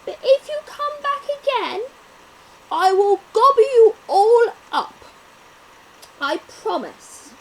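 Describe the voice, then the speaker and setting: Woman plays a booming storybook lion, proud and theatrical, slow and drawn out, lots of swoop in the voice; noisy recording. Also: sing-song, heavily acted. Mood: scornful. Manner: loud, theatrical, booming threats. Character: big proud male lion, boastful then grateful.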